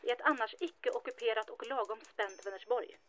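Woman playing a deadpan secretary, slightly high voice. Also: muffled recording, lightly acted. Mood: deadpan, informative. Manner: precise, deadpan, formal wording. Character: matter-of-fact, discreet female mayor's secretary. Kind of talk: audio drama dialogue.

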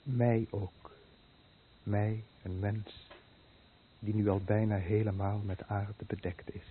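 An actor playing a doomed fisherman telling of his own burial in halting, deliberate phrases, in a solemn radio drama. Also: lowish voice, flat delivery. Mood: grim resignation. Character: doomed male fisherman slowly burying himself in his grave. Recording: muffled noisy old radio play recording.

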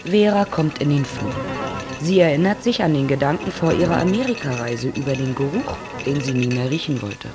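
An actor playing a detached storyteller, narrating bleak lives in short sentences in a radio drama. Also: male voice, a little high, expressive, brisk, close to an everyday voice. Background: music.

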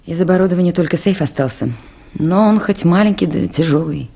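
A woman's voice: fairly low pitch, fairly quick, creaky, dark tone. An actress playing a composed, dutiful young woman river-station worker in a radio play. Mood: gently wry. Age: young adult. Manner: composed, earnest. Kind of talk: 1980s Soviet radio play acting.

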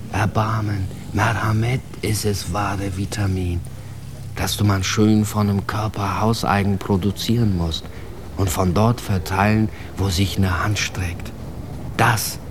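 An actor playing a streetwise, scornful man who declaims an earthy, insistent monologue in rough slang for a radio drama. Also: low voice, noisy background. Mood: urgent, scornful.

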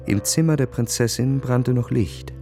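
An actor playing a cynical, world-weary young officer narrating his diary in a cool, measured, introspective way. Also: mid-range voice, music in the background, natural voice. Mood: tense, detached. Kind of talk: literary radio drama narration, diary-like first person.

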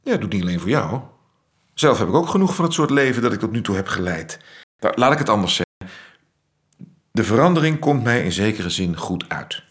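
A wry rakish astronomer. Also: male voice, on the low side, sing-song, fairly quick, fairly bright tone. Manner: ironic, dry, womanizing. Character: ironic male astronomer, a worldly rake. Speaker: Max, astronomer. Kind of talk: radio drama acting.

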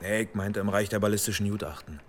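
An actor playing a chatty, wry police ballistics expert in a radio drama, folksy in manner. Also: male voice, on the low side, fast talker, natural voice. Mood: teasing.

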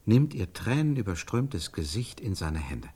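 An animated, husky scene-inventor. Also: male voice, fairly low pitch, unhurried, exaggerated voice. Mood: intense, searching. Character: male scriptwriter improvising a melodramatic scene, intense, searching. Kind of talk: stylised radio drama acting.

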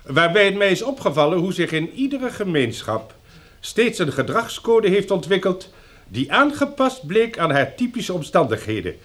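Animated elderly male professor, pedantic. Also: high-pitched, moderate pace, exaggerated voice. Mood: pedantic, ponderous. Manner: pedantic, lecturing, oratorical. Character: elderly male sociology professor, earnest and long-winded. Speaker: actor in a radio drama. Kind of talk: radio drama acting, academic address.

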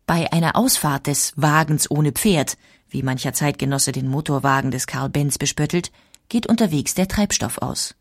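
A woman reads scripted documentary narration. Her voice lies a little lower than usual for a woman.

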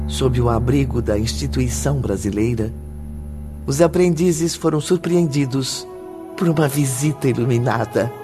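Slow-paced male radio drama narrator. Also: on the high side.

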